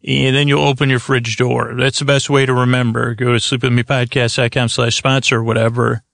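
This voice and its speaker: Male bedtime podcast host, creaky voice, rambling improvised delivery, full of detours, very dry studio sound. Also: mid-range voice, little pitch movement, fairly bright tone, no room sound. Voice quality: gravelly, creaky. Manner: meandering, halting, digressive, self-interrupting.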